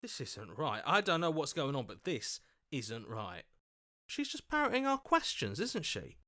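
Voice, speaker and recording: A man's voice: fairly high pitch, wildly swooping pitch, measured pace, pushed and bright, exaggerated voice. An actor playing an earnest, doubtful everyman hero in a video game, questioning and hesitant as he reasons aloud. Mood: puzzled, concerned.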